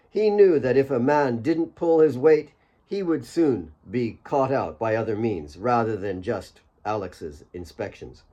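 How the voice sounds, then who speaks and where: Mid-pitched man narrating an audiobook. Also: warm tone. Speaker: author reading own audiobook. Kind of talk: scripted dramatic story narration.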